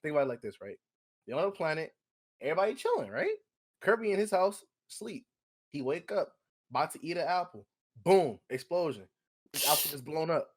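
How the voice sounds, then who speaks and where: A young American man co-hosting a podcast in casual, slangy group chat, telling things in an animated storytelling way. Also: on the high side, fairly quick. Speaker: podcast co-host.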